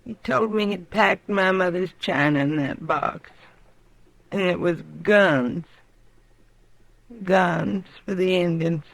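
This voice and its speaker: An actress playing a grief-stricken frontier widow in a radio western, speaking in a halting, bleak, resolute way. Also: female voice, lowish voice, dry recording, low-quality recording. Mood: bitter grief. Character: grief-stricken frontier widow confessing to killing her husband. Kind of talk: old radio western acting.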